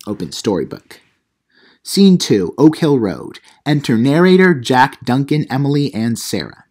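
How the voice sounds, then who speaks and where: A man's voice: mid-range voice, big pitch swings, a little slow, darkish tone. A young man reading his own comedy script aloud, stage directions included, as an amateur playwright. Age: young adult.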